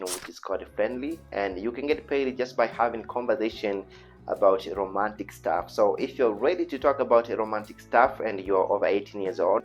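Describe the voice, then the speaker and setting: A Tanzanian man with a fairly low voice hosts an online tutorial, his Swahili-speaker English earnest and persuasive. He tends to repeat himself as he urges the listener along. The sound is a bit dull and lo-fi, with music underneath. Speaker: online video host. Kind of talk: informal tutorial voice-over. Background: background music.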